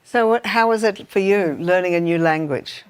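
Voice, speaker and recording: A woman's voice: lowish voice, moderate pace, smooth. A woman interviewing an author on stage, asking questions with admiration.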